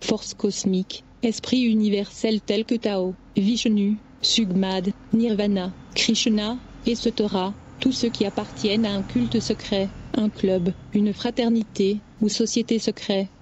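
Female narrator, mid pitch, warm and even, reads testimony; muffled low-fi audio. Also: unhurried, hardly any pauses, a little hiss or hum. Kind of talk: scripted first-person narration. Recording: dull lo-fi audio.

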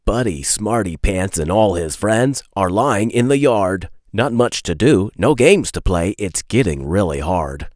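A man reads a picture book to young children in a low voice. His delivery is playful and expressive, with big swings in intonation. The recording is close and dry. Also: unhurried, hardly any pauses, no echo. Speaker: read-aloud host. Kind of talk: animated children's storybook reading.

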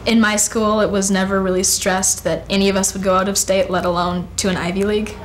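A woman's voice: mid-range voice, flat delivery, a little fast, a little hiss or hum, poor audio. A young woman from Minnesota, a college student giving an interview testimonial in a dorm room on a lapel mic. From Minnesota, United States. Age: young adult. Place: small dorm room indoors.

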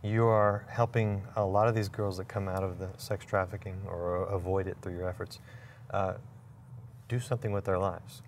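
A man's voice: sits low, little pitch movement, moderate pace, a little hiss or hum. A man in his forties hosting a studio interview, asking questions in a conversational way on a lapel mic. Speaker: interview host. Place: TV studio, seated at a table.

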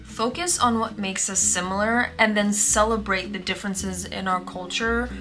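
A woman's voice: medium pitch, moderate pace. A young woman from Tanzania, a native Swahili speaker, talking casually to camera about family life on a lapel mic in her living room. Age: young adult. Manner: expressive, talks with her hands. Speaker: vlogger. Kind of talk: casual sit-down vlog talk.

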